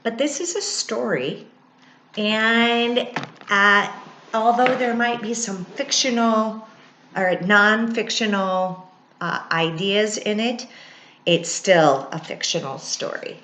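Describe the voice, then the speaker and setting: Bright-voiced woman reading slowly in an echoey room. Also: medium pitch, slight background noise. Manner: teacherly, pausing to explain words and ideas. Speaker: children's book reader. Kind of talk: read-aloud storytelling with informal explanations to children. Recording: echoey, roomy sound.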